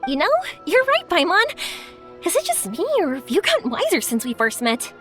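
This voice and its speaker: A woman voicing a cheerful, excitable and friendly young fireworks maker in a video game, bubbly and expressive. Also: very high-pitched, moderate pace, over music, heavily acted. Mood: curious.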